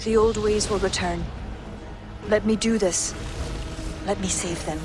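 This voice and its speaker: An actress playing a grave, self-sacrificing young druid woman in a game cutscene, speaking in a measured, pleading way. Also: mid-range voice, fairly even intonation, close to an everyday voice.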